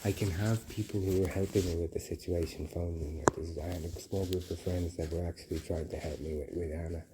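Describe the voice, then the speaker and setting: A man in his early forties with an Irish accent, giving a personal account in a halting, repetitive, pleading way. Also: deep voice, steady tone. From Dublin, Ireland. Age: early 40s. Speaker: interviewee being assessed. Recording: faint background noise with clicks.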